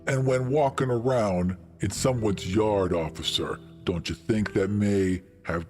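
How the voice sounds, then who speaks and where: A man voicing a towering female centaur in a tabletop game, stern and intimidating, speaking with deliberate, menacing politeness. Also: fairly low pitch, darkish tone, faint music behind, pitched below the performer's own voice, close to an everyday voice. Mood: indignant, challenging.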